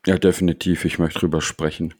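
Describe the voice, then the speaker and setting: Deep-voiced man, podcast co-host, dry close sound, calm and matter-of-fact. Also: brisk, breathy edge, no room sound. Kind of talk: casual podcast chat.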